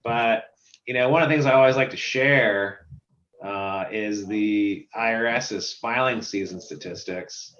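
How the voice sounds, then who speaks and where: A man who runs a software company, explaining filing statistics in a casual video call chat. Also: fairly low pitch, unhurried, reverberant, muffled recording. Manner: explanatory, walks through statistics.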